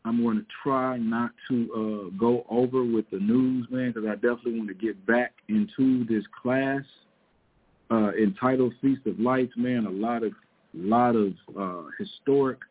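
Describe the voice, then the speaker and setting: A man's voice: medium pitch, husky. A man hosting an online radio talk show and teaching the Bible. He talks casually, stretching his words and dropping in "man" and "uh" as he goes. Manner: loose, drawn-out delivery peppered with "man" and "uh". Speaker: podcast host and Bible teacher. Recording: tinny phone-line call.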